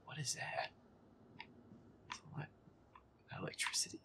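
Muttering, whispery man grumbling through a game. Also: takes it slowly. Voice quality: breathy, often whispered. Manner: grumbling, irritable, foul-mouthed. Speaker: gamer playing a horror game in character. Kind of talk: gameplay commentary, muttered roleplay audio.

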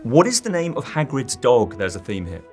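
A man's voice: mid-range voice, very animated, normal speed, music in the background. A man, interviewing two actors and quizzing them in a playful, teasing way, recorded close in a small room. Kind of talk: press junket quiz. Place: small dark junket room indoors.

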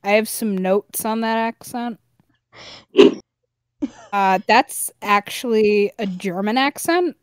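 A woman's voice: mid-range voice, slow, pauses often, clear, resonant tone, no room sound. A woman playing a tabletop game, joking and teasing in crude, casual banter.

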